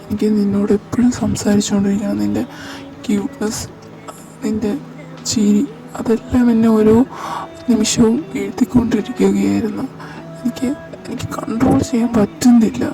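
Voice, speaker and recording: A woman voicing an intense, possessive young man who confesses his love in a soft, halting voice. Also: mid-range voice, little pitch movement, natural voice. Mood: tender. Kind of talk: amateur audio drama. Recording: distant mic over clear music.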